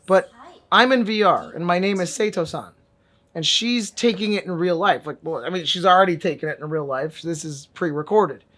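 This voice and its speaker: Guy doing a chill vr app review vlog, dry jokes, random loud bursts. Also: sits high, normal speed. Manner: wry, joking, with sudden emphatic bursts. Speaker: VR fitness and language vlogger.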